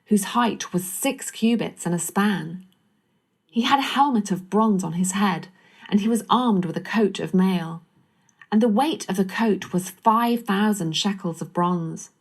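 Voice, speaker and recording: Medium-pitched woman narrating scripture. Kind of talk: scripted Bible story narration.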